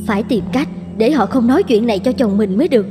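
A woman voicing an anxious, scheming adulterous wife in an animated drama, polite and pleading. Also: a little high, quick pace, music in the background, lightly acted. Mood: anxious, secretive. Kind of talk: Vietnamese animated drama dubbing.

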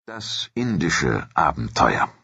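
A man reading a scripted historical documentary in a measured, dramatic storytelling manner. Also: very deep voice, very animated, bright, forceful tone, husky, dry recording, faint music behind. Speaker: narrator.

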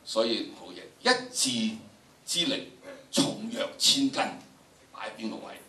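Older Hong Kong man lecturing, echoey and forceful. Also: fairly low pitch, lively intonation, a little slow. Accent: Hong Kong Cantonese. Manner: theatrical, emphatic, addressing students directly. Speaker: drama teacher giving a training workshop. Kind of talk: lecture with dramatic recitation demonstrations. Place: large lecture room, indoors. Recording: echoey lecture hall, distant, noisy.

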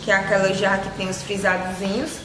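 A woman's voice: mid-range voice, level tone, quick pace. A woman, casually presenting products to camera as a vlogger and seller. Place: small indoor room with shelves. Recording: echoey room with loud background noise.

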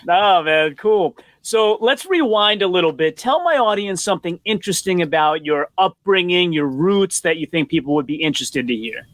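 A man's voice: sits high, animated, moderate pace, hardly any pauses, no room sound. A man in his thirties hosting a casual interview over a video call from a home office. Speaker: interviewer, show host.

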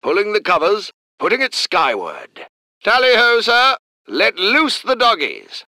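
A clipped, proper British tank gunner. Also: male voice, high voice, wildly swooping pitch, a little slow, sharp tone, no room sound, heavily acted. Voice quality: clipped, formal. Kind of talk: video game unit voice lines. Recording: dry close studio recording.

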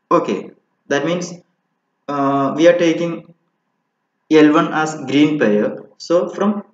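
A man giving an informal technical tutorial as an instructor, explaining step by step and often checking in with "okay". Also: on the high side. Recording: muffled, roomy, echoey home recording.

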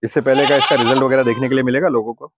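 Brisk man showing goats outdoors. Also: mid-range voice, fairly even intonation. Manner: brisk, asks quick questions and repeats answers for viewers. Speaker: goat trader vlogger. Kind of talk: casual on-site livestock walkthrough. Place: outdoor rooftop goat pen. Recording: muffled phone audio with noise.

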